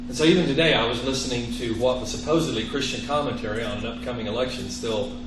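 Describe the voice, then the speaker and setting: A man with Italian as his first language lectures to students on faith. He speaks earnestly and emphatically, pausing to quiz them. His voice carries the echo of the classroom, with clear noise behind it. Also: medium pitch. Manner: earnest, emphatic, quizzing listeners with rhetorical questions. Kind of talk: interactive religious lecture. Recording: roomy, echoey classroom with clear background noise.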